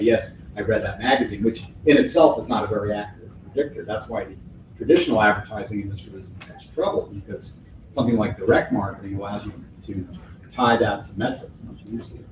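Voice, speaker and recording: A man speaking as a conference keynote speaker, answering audience questions in a thoughtful way, restarting phrases and using folksy sayings. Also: on the low side. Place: hotel conference hall. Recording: echoey hall from far off, faint noise.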